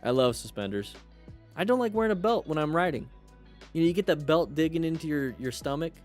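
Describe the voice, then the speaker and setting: A man hosting a livestream, chatting casually with viewers in a blunt, joking, animated manner. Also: fairly high pitch, brisk, soft background music. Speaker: livestream host; firefighter.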